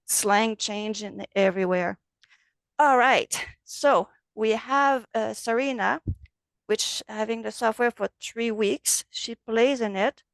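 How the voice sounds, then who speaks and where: A woman with a French accent, a native French speaker, teaching a relaxed online software class over a video call. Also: on the high side, takes it slowly, dry recording. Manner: friendly, loose, switches between English and French. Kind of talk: casual online class walkthrough.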